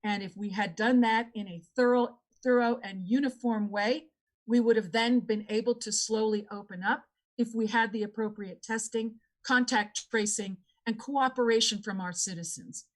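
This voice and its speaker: A woman's voice: fairly high pitch, fairly even intonation, normal speed. A woman answering as a candidate in a debate, making earnest, repetitive appeals to voters.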